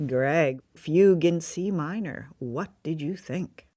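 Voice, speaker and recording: A woman's voice: sits low, slow pace, warm tone, no echo, clean studio sound. A woman co-hosting a podcast, chatting in an amused, conversational way about an old radio play. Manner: chatty, amused, asks questions. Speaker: podcast co-host. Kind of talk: casual review chat.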